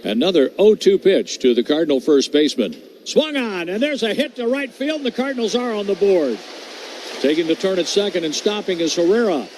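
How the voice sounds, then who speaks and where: A man working as a radio play-by-play announcer, calling baseball pitch by pitch in a brisk, steady way that rises on big plays. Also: a little hiss or hum. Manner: brisk, steady calls that lift on hits.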